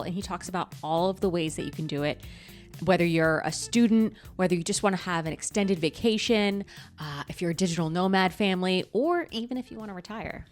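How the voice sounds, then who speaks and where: Co-host: a woman with a lowish voice and an upbeat, welcoming delivery, with faint music behind her. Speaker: podcast co-host. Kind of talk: podcast chat.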